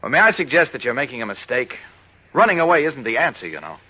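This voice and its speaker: A man's voice: medium pitch, big pitch swings, quick pace, breathy edge. A man acting in a 1940s radio drama as an earnest, resolute, persuasive man, on a muffled old recording. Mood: earnest, urgent. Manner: persuasive, emphatic. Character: earnest, resolute man urging a frightened family to stand firm.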